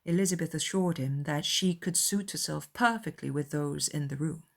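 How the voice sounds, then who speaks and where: A woman's voice: deep voice, moderate pace, creaky. A woman narrating a classic novel as a scripted audiobook.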